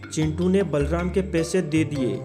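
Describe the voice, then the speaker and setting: A man narrating a children's cartoon story in a steady storytelling manner. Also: on the high side, unhurried, music in the background.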